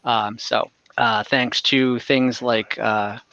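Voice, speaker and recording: A man narrating an online tabletop game as game master in a casual, halting way, often searching for words. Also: medium pitch, level tone, pushed and bright, no room sound, dull audio.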